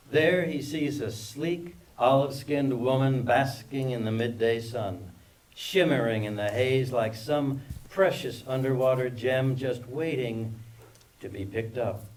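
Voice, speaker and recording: A man's voice: mid-range voice, a little slow, a little mellow, slight background noise. A man reading scripted stage directions as narrator of a screenplay table read, recorded from a distance. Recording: distant microphone in live reading.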